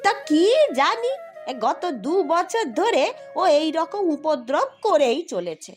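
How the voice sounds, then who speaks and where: A woman voicing a scolding old village grandmother in a cartoon, with an emphatic, drawn-out way of talking. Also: extremely high pitch, sing-song, faint music behind, heavily acted. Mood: grave, complaining. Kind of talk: exaggerated Bengali cartoon voice acting.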